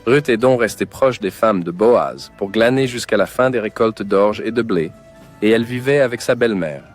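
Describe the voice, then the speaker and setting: Lowish voice, male narrator, scripted Bible storytelling, faint music behind. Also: moderate pace.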